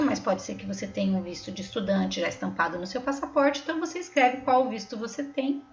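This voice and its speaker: A woman's voice: sits high, a little hiss or hum. A woman from Brazil with a São Paulo accent, patiently walking viewers through an online form step by step and often checking they are following. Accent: Brazilian Portuguese, São Paulo. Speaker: tutorial host. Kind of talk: step-by-step screen tutorial voice-over. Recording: roomy, slightly dull recording.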